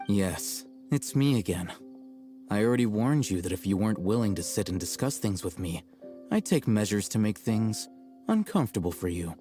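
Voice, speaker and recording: A man playing a cool, calculating, self-assured young scholar in a video game, speaking in a measured, formal, deadpan way. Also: fairly low pitch, soft background music. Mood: cool, firm.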